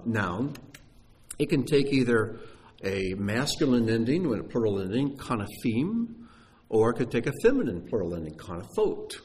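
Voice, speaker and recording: Guy giving a sermon, voice jumps all over, gets loud then goes real quiet. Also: lowish voice. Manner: animated, emphatic teaching with sudden drops to near-hushed voice. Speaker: teacher.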